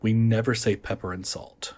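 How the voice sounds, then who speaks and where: An American man, a guest on a podcast, chatting casually and explaining things in a measured way. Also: on the low side, steady tone, darkish tone. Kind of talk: casual chat.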